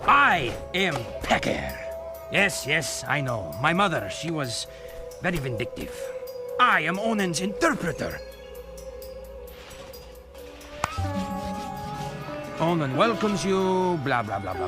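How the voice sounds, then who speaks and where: An actor playing a grumpy, haughty talking bird who interprets for someone, theatrical and dismissive, in a video game. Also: male voice, on the high side, very animated, unhurried, music in the background. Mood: bored, haughty. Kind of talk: animated video game acting.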